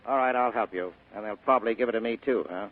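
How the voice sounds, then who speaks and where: A man's voice: on the low side, quick pace, natural voice. A man playing a wry, tough, cynical private detective in a 1940s radio drama, slangy and sardonic. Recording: narrow, tinny old radio broadcast.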